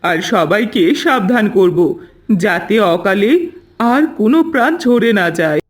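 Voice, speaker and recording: A man's voice: high voice, animated, a little slow. A man voicing earnest, anxious villagers in a cartoon story, urgent and questioning. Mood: remorseful, pleading. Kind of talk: Bengali cartoon story voice acting.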